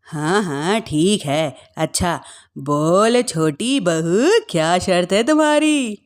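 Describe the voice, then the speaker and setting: A woman's voice: on the low side, lively intonation, slow. A woman playing a domineering, wheedling middle-aged mother-in-law in a theatrical family story. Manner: theatrical, incredulous. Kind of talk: Hindi family story dramatization.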